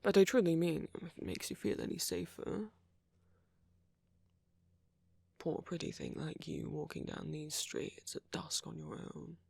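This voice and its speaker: Husky, flirty gentleman vampire played by a man. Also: a little high, moderate pace, no room sound, heavily acted. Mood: flirty, reassuring. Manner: gently teasing, polite old-fashioned phrasing, soft chuckles. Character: friendly, flirty, courteous male vampire, teasing yet reassuring. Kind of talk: ASMR roleplay, intimate one-sided dialogue to the listener. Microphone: close microphone.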